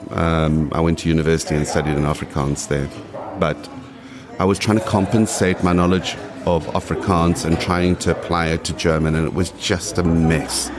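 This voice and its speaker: A man's voice: low voice, steady tone, normal speed, music in the background. A man in his late forties from South Africa with a South African accent, rambling and restarting as he chats in an interview. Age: 40s. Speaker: guest. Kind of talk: casual interview.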